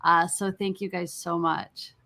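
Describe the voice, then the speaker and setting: A woman's voice: lowish voice, flat delivery, unhurried, gravelly, pushed and bright. A woman hosting an interview in a casual, conversational style. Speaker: interview host.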